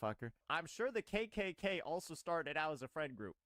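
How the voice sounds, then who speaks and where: A man's voice: on the high side, big pitch swings, normal speed, a bit bright, no echo, clean studio sound. A man talking casually. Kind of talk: casual talk.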